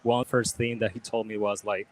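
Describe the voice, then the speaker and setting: Man from Venezuela, bartender, polite, halting, searches for words, restaurant bar. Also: on the low side, flat delivery, a little hiss or hum, low-quality recording. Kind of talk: casual interview.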